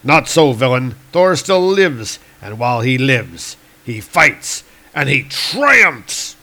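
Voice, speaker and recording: A man's voice: medium pitch, very animated, slow, pushed and bright, exaggerated voice. A man voicing a noble, boastful thunder god from a comic book, with pompous archaic declamation. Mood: boastful, defiant. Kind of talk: reading comic book dialogue aloud.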